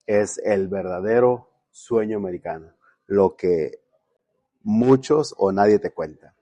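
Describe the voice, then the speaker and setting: A podcast host from Mexico with a low, mellow voice, recorded close and dry. He asks friendly questions and often restarts his words as he talks. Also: slow pace, dry recording. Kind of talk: casual interview.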